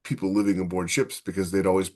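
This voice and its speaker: A man's voice: low voice, flat delivery, quick pace, a little mellow. A man talking casually as a podcast co-host and author, opinionated and fond of illustrating his points with mock quotes.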